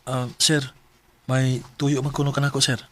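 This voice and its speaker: A man's voice: mid-range voice, little pitch movement, normal speed, lightly acted. A man with a Filipino Bisaya accent acting a lovesick, jealous young security guard in a radio drama, questioning insistently and emotionally. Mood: polite, uneasy.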